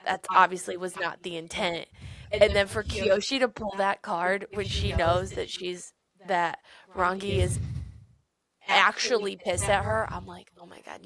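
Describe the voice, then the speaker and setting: Creaky-voiced woman chatting about books. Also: mid-range voice. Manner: animated retelling, acts out quotes, swears freely. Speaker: podcast co-host. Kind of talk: casual book discussion chat.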